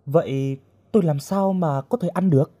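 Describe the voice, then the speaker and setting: A man's voice: on the high side, moderate pace, dark tone, no room sound, pitched above the performer's own voice, natural voice. A man voicing a frightened captive young woman who pleads and challenges in a dramatised audio story. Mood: anxious, questioning.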